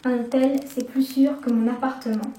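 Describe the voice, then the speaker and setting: A young woman narrates a first-person horror tale in a mellow, slightly high voice. She slows down to build suspense. The recording sounds roomy, with her a little away from the mic. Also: fairly even intonation. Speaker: storyteller. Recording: echoey room, distant from the mic.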